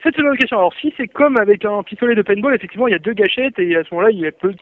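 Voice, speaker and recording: A man on a telephone giving courteous, wordy, deadpan improvised answers. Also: high voice, racing. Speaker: prank call recipient. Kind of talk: polite improvised answers on a phone call. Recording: band-limited phone line.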